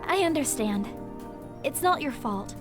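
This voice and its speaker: A high-voiced, earnest young mage-knight heroine. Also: female voice, lively intonation, measured pace. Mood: understanding. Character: young female mage-knight, earnest, fair-minded, concerned. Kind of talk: visual novel game voice acting. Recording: music behind the voice.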